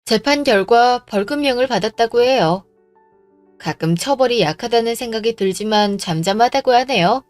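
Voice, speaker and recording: A woman narrates a scripted radio story. Her voice sits fairly high, and the recording is close and dry. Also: expressive, normal speed, dry recording, faint music behind.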